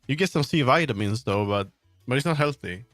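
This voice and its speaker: A man's voice: mid-range voice, lively intonation, fairly quick, fairly bright tone, no room sound. Rambling male streamer, close dry sound. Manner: rambling, thinks aloud, trails off mid-sentence. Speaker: livestreamer, game developer. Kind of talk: casual rambling livestream chat while working. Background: background music.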